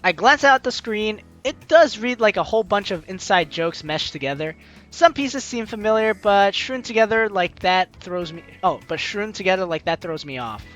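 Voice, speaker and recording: Bright, animated woman doing game commentary. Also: medium pitch, moderate pace, highs cut off. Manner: expressive, playful, slangy. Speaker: let's play host. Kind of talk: casual game commentary and reading dialogue aloud. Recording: faint music behind the voice.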